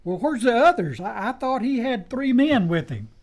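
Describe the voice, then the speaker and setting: A man's voice: very high-pitched, very animated, moderate pace, exaggerated voice. A man with an American accent playing a folksy, deferential frontier lawman's helper in a western radio drama, with a drawling country way of talking. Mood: curious, eager.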